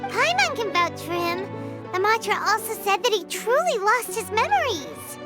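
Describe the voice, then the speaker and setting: Tiny squeaky game sidekick girl, super high n bouncy, chatty and blunt. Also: very animated, normal speed, over music. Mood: earnest. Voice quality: squeaky, childlike. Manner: refers to self in third person, blunt. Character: small childlike female floating companion, chatty and blunt. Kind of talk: video game voice acting.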